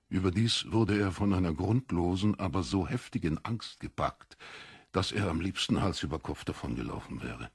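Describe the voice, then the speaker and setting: A man reads literary fiction as a dramatic narrator. His voice is low and husky, with deliberate, expressive phrasing, and the sound is intimate and dry. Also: no echo.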